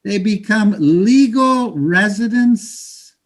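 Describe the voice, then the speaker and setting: Man acting a slow-talking, proud immigrant father. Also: sits high, lively intonation. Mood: proud, hopeful. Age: middle-aged. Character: proud, scheming immigrant father, eager to act. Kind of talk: staged play reading.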